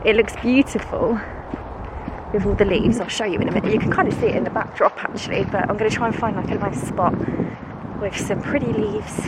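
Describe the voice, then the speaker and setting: A British woman with a congested, bunged-up nose, walking through the woods as she chats to camera in a self-mocking way and laughs often. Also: medium pitch. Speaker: vlogger and schoolteacher. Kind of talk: casual vlog chat while walking. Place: woods outdoors. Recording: noisy outdoor camera recording. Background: outdoor ambience, passing vehicles.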